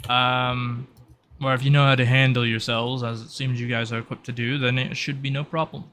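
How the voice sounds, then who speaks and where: A man voicing a polite male shopkeeper in a tabletop roleplay, courteous and measured, with some hesitation. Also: mid-range voice, little pitch movement, fairly bright tone, slight background noise, close to an everyday voice. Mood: polite, helpful. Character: polite, well-presented male shopkeeper greeting customers.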